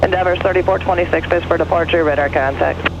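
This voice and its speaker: A man's voice: on the high side, steady tone, fast, muffled recording. A man working as an air traffic controller, giving procedural instructions over aviation radio.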